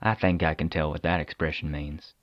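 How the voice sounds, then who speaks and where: An actor playing a weary, persuasive government agent in an audio drama, talking conversationally with deliberate dramatic pauses. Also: male voice, extremely low pitch, steady tone, dry recording, dull audio. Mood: persuasive, solemn. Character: weary, persuasive male agent of a secret containment agency.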